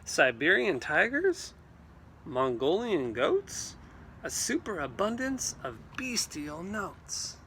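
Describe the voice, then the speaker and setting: A man reading a storybook aloud to children in an animated, theatrical way, with exclamations and asides. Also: high-pitched, takes it slowly, a little hiss or hum. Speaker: read-aloud teacher.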